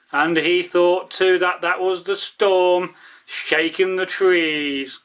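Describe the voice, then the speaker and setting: Male storyteller, high voice, unhurried, emphatic, dramatic folktale delivery, repeated phrases. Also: pushed and bright. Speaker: storyteller, channel host.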